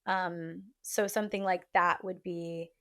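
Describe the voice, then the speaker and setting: A woman's voice: lowish voice, little pitch movement, unhurried, a bit bright. A woman hosting a podcast, chatting frankly and casually and giving relationship advice with many "like" fillers. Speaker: podcast host.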